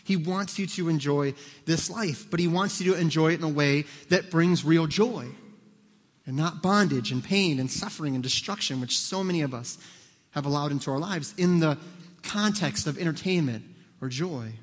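High-voiced joking pastor in a reverberant hall. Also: male voice, normal speed. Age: 30s. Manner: joking, quizzes the congregation. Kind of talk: conversational sermon.

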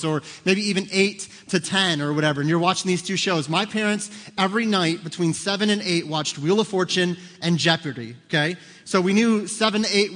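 A man's voice: high voice, a bit bright. A man in his thirties preaching a conversational sermon, joking and quizzing the congregation in a church auditorium. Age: 30s. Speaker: pastor. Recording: long hall reverb.

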